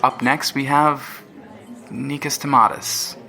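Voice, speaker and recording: A man playing a cafe open mic emcee giving brisk introductions. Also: mid-range voice, little pitch movement, creaky, sharp tone, heavily acted. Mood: announcing.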